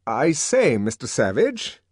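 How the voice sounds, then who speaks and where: A man reading an audiobook, voicing a fussy young official who gives curt orders and coaxes smoothly. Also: high-pitched, animated, moderate pace, a little mellow, no room sound, clean studio sound, voice pushed up. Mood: coaxing. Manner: peremptory, then coaxing.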